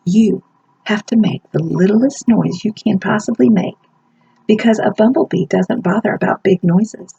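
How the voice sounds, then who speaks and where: A woman's voice: medium pitch, moderate pace, gravelly, mellow, slightly rough, no room sound, dull audio. A woman voicing a wise old male groundhog who patiently explains. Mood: knowing.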